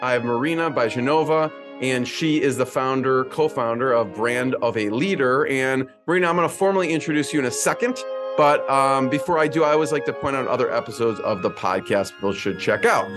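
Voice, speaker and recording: A man's voice: medium pitch, fairly quick, over music. Chatty male podcast host. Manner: chatty, filler-heavy with many ums. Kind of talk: conversational interview intro.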